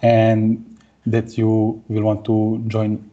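A man, giving a tech conference talk over a video call in a plain, steady way with many pauses. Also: on the low side, muffled recording. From Serbia. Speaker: conference speaker. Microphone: headset or laptop mic on video call.

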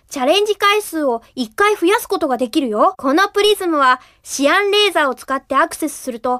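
A woman's voice: extremely high pitch, fairly bright tone, dry recording, studio quality. A woman voicing a cheerful, helpful young boy fox sidekick who gives friendly, reassuring hints in a video game. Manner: friendly, clear step-by-step explaining, reassuring. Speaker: tutorial guide. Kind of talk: scripted video game hint narration.

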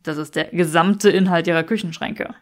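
A woman's voice: fairly low pitch, steady tone, moderate pace, lightly acted. A woman voicing a smug, teasing young aristocratic man with a drawling, mock-serious manner in an audiobook.

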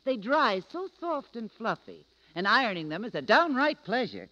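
A woman's voice: fairly high pitch, sing-song, moderate pace, a bit bright. A woman playing a contented, enthusiastic housewife in a 1940s radio commercial. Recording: muffled vintage radio recording.

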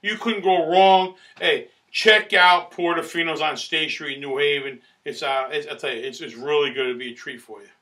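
A middle-aged man talks straight to the camera with loud, boisterous pitchman energy, circling back on the same phrases. His voice sits high for a man and cuts hard and sharp. He sounds recorded in a small indoor space on a camera mic at desk distance. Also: fairly even intonation, moderate pace, hardly any pauses. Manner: loud, boisterous, salesman-like, repeats himself. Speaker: food critic host. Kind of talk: restaurant review to camera. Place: small indoor studio in front of a banner backdrop.

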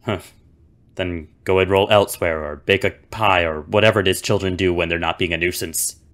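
A man playing a gruff, sarcastic, dismissive character in an animated film. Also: sits low, a little fast. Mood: irritated, dismissive. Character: gruff, irritable, sarcastic man brushing off a child.

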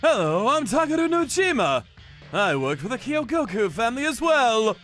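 An actor playing a hot-headed, boastful young yakuza with an emphatic, combative delivery in a scripted anime dub. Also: male voice, extremely high pitch, sing-song, moderate pace, a bit bright, faint music behind, full character voice. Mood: eager.

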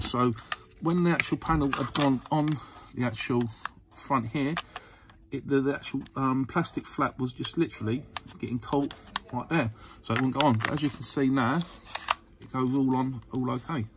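A man's voice: mid-range voice, fairly even intonation, moderate pace. Hesitant man, casual how-to, some noise. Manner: hesitant, rambling, repeats himself. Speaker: channel host. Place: indoors at a workbench.

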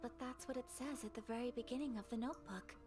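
A woman playing a refined, gentle young noblewoman in a game, speaking formally and softly, with measured, polite phrasing and some breathiness. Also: on the high side, lightly acted. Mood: solemn. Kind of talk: English game dub acting. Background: game music.

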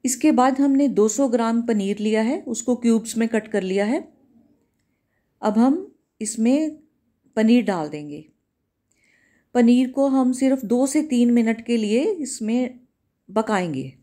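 Soft, mellow-voiced woman explaining a recipe. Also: on the high side, slow pace, long pauses. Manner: calm, instructive, repeats steps. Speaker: cooking channel host. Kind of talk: step-by-step recipe voice-over. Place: home kitchen.

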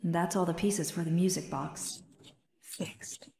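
Earnest game heroine, long reverb. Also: female voice, on the low side, fairly even intonation, measured pace, vocal fry, darkish tone. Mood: focused, cautious. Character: earnest, resolute young woman heroine born of ink. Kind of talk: video game voice acting.

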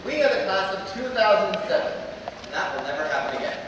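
A man's voice: sits high, big pitch swings, fast, far from the mic, noisy background. A young man in his late teens giving an earnest graduation speech with wry humor from a lectern in a large auditorium. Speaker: student graduation speaker. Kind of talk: commencement address. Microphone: stand mic, filmed from far off. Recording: echoey hall recorded from afar.

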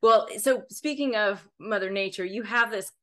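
A woman's voice: mid-range voice, normal speed. Chatty woman hosting a gardening podcast. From Southern California. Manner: friendly, laughs often, many fillers. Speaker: podcast host. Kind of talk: casual interview chat.

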